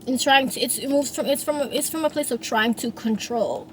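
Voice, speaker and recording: A woman's voice: high-pitched, moderate pace, slight background noise. A woman in her thirties, a singer and songwriter, talking through her feelings in a rambling, reflective monologue with many restarts. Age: 30s. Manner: rambling, frequent restarts and fillers.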